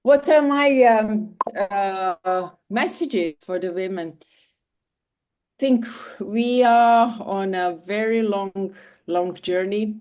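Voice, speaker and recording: Slow, smooth-voiced woman in her fifties. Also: mid-range voice, a little mellow. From Switzerland. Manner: hesitant, many fillers and restarts. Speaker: leadership coach and consultant. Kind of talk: online call self-introduction. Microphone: video call.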